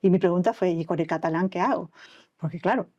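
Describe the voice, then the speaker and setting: A middle-aged Uruguayan woman talks quickly and mellowly, with a clear Uruguayan Spanish accent. She tells her stories in a lively way and acts out the lines of the people she quotes. The audio is a little muffled and dull. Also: lowish voice. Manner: animated storytelling, acts out quoted dialogue. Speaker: anesthesiologist guest. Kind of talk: conversational interview.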